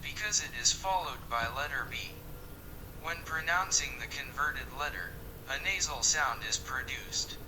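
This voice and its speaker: A man from Egypt in his early thirties, a Quran teacher, reading out his answers in a slow, careful recital for a practice job interview. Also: medium pitch, background noise. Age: early 30s.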